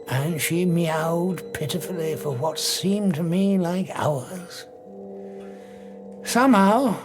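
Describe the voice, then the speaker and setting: Obsessive, swooping mad scientist. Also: male voice, fairly high pitch. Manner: theatrical, savouring storyteller. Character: obsessive scientist, invisible man, darkly exultant and cold. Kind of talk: audio drama monologue.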